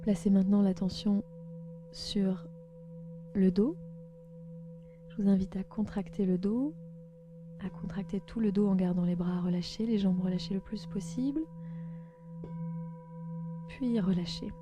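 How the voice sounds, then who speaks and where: A woman host leads a guided relaxation meditation, speaking calmly and gently in slow, spaced instructions. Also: medium pitch, slight background noise. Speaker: podcast host and yoga nidra guide.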